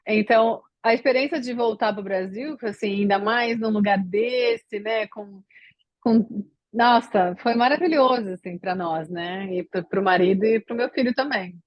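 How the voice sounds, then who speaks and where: A woman's voice: medium pitch, unhurried, no echo, highs cut off. A woman from Brazil, a podcast guest, chatting casually and rambling with many fillers and restarts. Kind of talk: casual interview chat.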